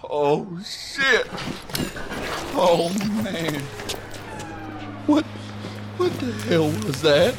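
An actor in an audio drama playing a rattled, blunt male agent who talks in an agitated, colloquial way, with loud music behind. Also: fairly high pitch, very animated, extremely slow, pauses often, noisy background, exaggerated voice. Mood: shocked.

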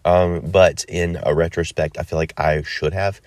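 A man's voice: deep voice, level tone, normal speed, a little mellow, dry recording. A man hosting a solo podcast in a casual, rambling way with many ums and uhs, sounding stuffy from a cold. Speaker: podcast host.

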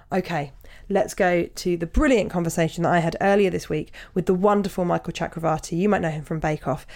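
A woman's voice: low voice, vocal fry, a little mellow. A woman hosting a podcast, chatting with gushing enthusiasm over a video call. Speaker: podcast host. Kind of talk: casual interview chat.

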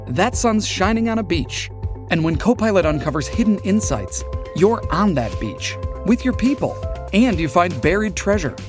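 A man voicing a scripted commercial in a bright, playful sales style. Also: high-pitched. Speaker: ad voiceover.

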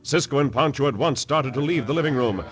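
An actor narrating an old radio western in a dramatic, scripted style. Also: male voice, medium pitch, fast, fairly bright tone, lightly acted.

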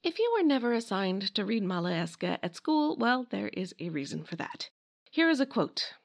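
A woman's voice: mid-range voice, very animated, normal speed. A woman narrating a scripted history talk in a conversational way, with wry asides. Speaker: podcast host and narrator.

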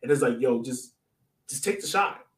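A man's voice: medium pitch, animated, normal speed. A man co-hosting a sports podcast in casual, informal soccer chat, often tossing in asides. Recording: roomy echo, distant from mic.

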